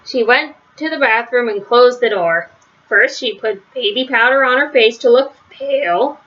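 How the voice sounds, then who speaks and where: A woman's voice: a little high, moderate pace, dull audio. A woman reading a children's story aloud as narrator. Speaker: storybook reader.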